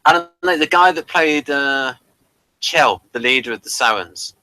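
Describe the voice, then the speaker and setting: Bright, sharp-voiced man chatting hesitantly. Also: medium pitch, lively intonation, moderate pace, dry recording, rough recording. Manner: hesitant, searching for words. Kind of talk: casual chat about old television.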